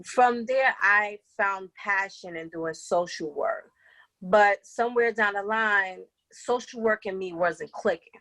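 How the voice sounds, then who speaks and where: A young woman from the Bronx with a Bronx New York accent, speaking as a podcast guest and empowerment coach, earnest and pausing often with "um". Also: mid-range voice, fairly bright tone, poor audio. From the Bronx, New York City. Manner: earnest, many "um" pauses, laughs easily. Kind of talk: casual interview talk.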